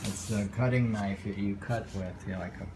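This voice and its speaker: A man reading short poems aloud and commenting on them casually, in a musing, informal way with reflective pauses, over a noisy recording. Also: lowish voice, fairly even intonation. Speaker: poetry reader.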